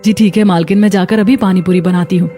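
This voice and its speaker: A woman's voice: on the low side, fairly even intonation, quick pace, warm tone, soft background music, close to an everyday voice. A woman voicing a humble, hardworking young housemaid in a cartoon story, polite and earnest. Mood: polite, coaxing. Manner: polite, earnest, put-upon.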